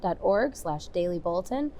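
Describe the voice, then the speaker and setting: A woman's voice: on the low side, lively intonation, moderate pace, warm tone, a little hiss or hum. A woman reporter speaking in a formal, scripted news interview style. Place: conference venue.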